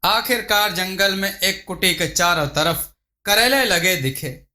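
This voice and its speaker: A man's voice: sits high, measured pace, bright, forceful tone. A man reading a scripted folk tale as a storyteller. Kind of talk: scripted narration of a Hindi moral folk tale.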